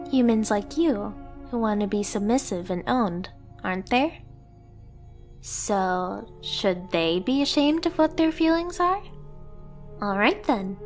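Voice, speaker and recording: Casting: female actor for submissive, devoted catgirl in ASMR romance. Tender, pleading, unhurried delivery over background music. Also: fairly high pitch. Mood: earnest, tender. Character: devoted, submissive young female catgirl pet, clingy and eager. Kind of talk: scripted ASMR romance roleplay.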